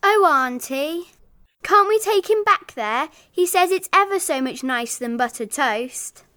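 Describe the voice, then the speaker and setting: A storyteller voicing an earnest, soft-hearted little girl in a children's storybook, with a childlike, plain-spoken manner. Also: sits high, expressive, a little slow, dry recording, studio quality, voice pushed up, heavily acted. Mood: pleading.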